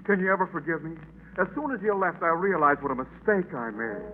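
An actor playing a brash, hot-headed young boyfriend in a 1940s radio play. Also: male voice, a little high, expressive, brisk, narrow, tinny audio, lightly acted. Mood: remorseful. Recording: scratchy old radio broadcast.

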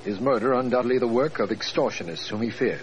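District attorney for vintage radio drama male actor: formal, orderly, medium pitch, faint recording noise. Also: lively intonation, fast talker, highs cut off, close to an everyday voice. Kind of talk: 1940s radio drama narration and acting. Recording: noisy 1940s radio recording.

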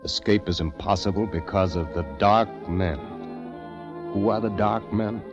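A man's voice: fairly low pitch, unhurried. An actor playing an earnest, uneasy man trapped among strange recluses in a 1940s radio drama, polite, hesitant and brooding. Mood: brooding, haunted. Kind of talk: 1940s radio acting, first-person diary narration. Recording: dull vintage radio recording, music behind.

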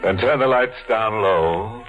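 An actor playing a ghoulish, gleefully macabre radio horror host, with theatrical, drawn-out, mock-genial delivery in 1940s style. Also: male voice, fairly low pitch. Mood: sly, ominous. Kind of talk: 1940s radio horror introduction, tongue-in-cheek. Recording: muffled old radio broadcast with music.

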